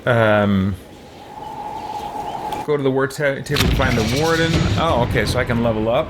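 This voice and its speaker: A man's voice: mid-range voice, normal speed, loud music behind the voice. A man hosting a roleplay let's play, thinking aloud in casual commentary. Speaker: gaming channel host.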